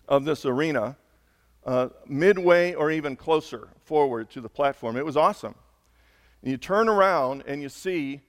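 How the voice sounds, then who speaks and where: A man preaching a sermon as a pastor, hoarse, talking conversationally and building to slow, emphatic peaks. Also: medium pitch, in a large hall. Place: church sanctuary.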